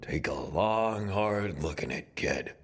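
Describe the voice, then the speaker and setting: An actor playing a confident, kindly young assassin mage in a fantasy video game, with a wry, self-assured manner. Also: male voice, low voice, animated, slow pace, slightly rough, heavily acted. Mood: proud, wry. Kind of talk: video game dialogue and reflective monologue. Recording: game music behind the voice.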